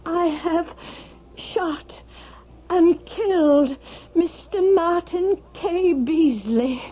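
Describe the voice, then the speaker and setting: High-voiced, troubled formal lady. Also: heavily acted. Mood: grave, anguished. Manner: formal, halting, dramatic. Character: grave, troubled, formal woman making a confession. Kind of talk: 1970s radio drama acting. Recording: tinny vintage radio, faint noise.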